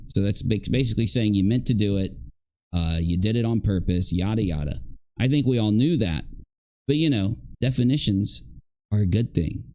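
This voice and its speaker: A man's voice: lowish voice, warm tone. A man hosting a livestream, giving casual, rambling commentary and reading aloud with grumbling, joking asides.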